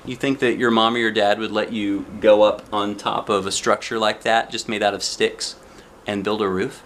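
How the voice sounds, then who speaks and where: A man's voice: on the low side, little pitch movement, moderate pace, fairly bright tone, a little hiss or hum. A man reading a children's picture book aloud expressively, with chatty asides and questions to the young listener. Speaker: storybook reader.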